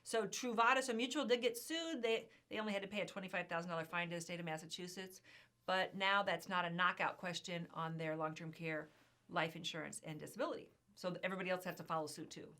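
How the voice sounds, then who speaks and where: Chatty hoarse woman, bright voice. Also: on the low side, fast. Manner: chatty, emphatic. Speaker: co-host of insurance news show. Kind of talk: casual chat.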